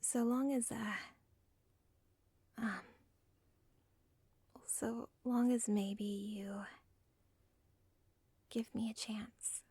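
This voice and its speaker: A woman playing a villain's lovestruck, self-aware daughter in an ASMR roleplay, whispering softly with a halting, deliberate, intimate delivery. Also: fairly high pitch, steady tone, dry recording, exaggerated voice. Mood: tender confession. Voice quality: soft, breathy, whispery.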